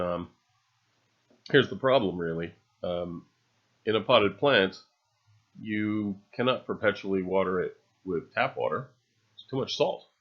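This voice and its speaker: A man narrating an unscripted, rambling voice-over in a halting, musing way, with dry, understated humor. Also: low-pitched, fairly bright tone. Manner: halting, musing delivery with long trailing phrases. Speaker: video narrator. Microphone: separately recorded voice-over. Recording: dull, lo-fi voice recording.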